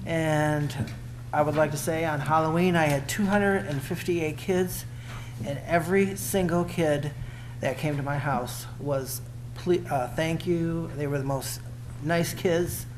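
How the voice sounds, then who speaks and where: A man speaking as city commissioner in a plain, halting way. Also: a little high, fairly even intonation. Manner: plain, halting, many uh fillers. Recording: noisy meeting room recording.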